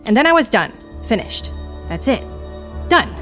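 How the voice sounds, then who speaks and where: An actress playing a frazzled female knitter confessing in an audio drama dark comedy, with a theatrical, wry, confiding manner. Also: fairly high pitch, big pitch swings, unhurried, music in the background. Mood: troubled, confiding.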